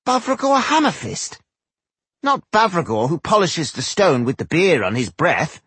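A man's voice: sits high, very animated, moderate pace, breathy edge, dry recording, pitched above the performer's own voice, exaggerated voice. A man voicing an indignant, disapproving dwarf stonemason with an insistent, incredulous manner, in a fantasy audiobook.

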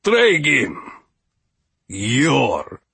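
A man voicing an ancient, thin catacomb steward in a storybook, pedantic and ominously polite, with a rumbling grumble. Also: wildly swooping pitch, very slow, gravelly, bright, forceful tone, husky, dry recording, heavily acted. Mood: friendly, patient.

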